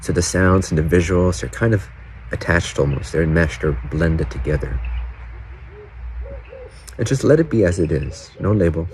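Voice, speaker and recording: Deep voiced guy doing guided meditation, slow gentle phrases, birds and outdoor sounds behind him. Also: a little hiss or hum. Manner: unhurried, pausing between short phrases. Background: doves, frogs, birds and distant cars.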